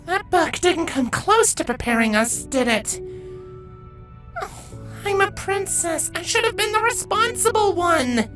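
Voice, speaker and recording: Young pony princess filly: very high, swooping, pleading and apologetic, for a fantasy story reading. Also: female voice, big pitch swings, a little slow, fairly bright tone, faint music behind, full character voice. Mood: remorseful.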